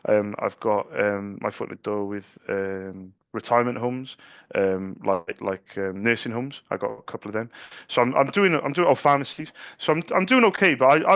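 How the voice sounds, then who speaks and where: A middle-aged man chatting on a sales call at an ordinary mid pitch. He talks freely, doubling words and restarting his sentences. The recording sounds dull and lo-fi. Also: fairly quick, a bit bright, no echo. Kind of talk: casual sales phone call.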